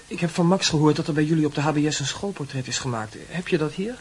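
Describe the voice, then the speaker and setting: A man's voice: fairly high pitch, fast talker, a little hiss or hum, lightly acted. A man playing an earnest, doubting teenage schoolboy in a Dutch radio play, hesitant and searching for his words. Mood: hesitant, curious.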